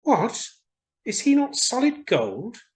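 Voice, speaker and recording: Guy doing a chirpy little male swallow from a storybook, pitched way up, swoopy and fussy, full of himself. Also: wildly swooping pitch, slow pace, full character voice. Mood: curious, put out. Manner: exclamatory, fussy, emphatic. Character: small male swallow, vain, chirpy, self-important traveller.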